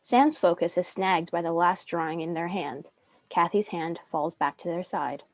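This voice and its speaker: A woman's voice: fairly low pitch, moderate pace, no room sound. A young woman reading stage directions as the narrator of a dramatic script. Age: young adult.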